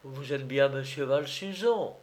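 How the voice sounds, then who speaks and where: A man's voice: mid-range voice, unhurried, a little mellow, lightly acted. A man voicing a hapless bridegroom in a comic storybook tale, protesting in a plaintive, faltering, flustered way. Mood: bewildered. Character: hapless flustered bridegroom, pleading indignant provincial cousin.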